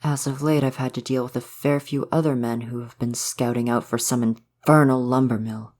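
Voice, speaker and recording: A man acts out a male forest spirit in a soft romantic ASMR audio drama. He is gentle and curious about humans. His phrasing is formal, polite and old-fashioned, like that of a courtly woodland being. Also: mid-range voice, little pitch movement, moderate pace, lightly acted. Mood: wary, earnest.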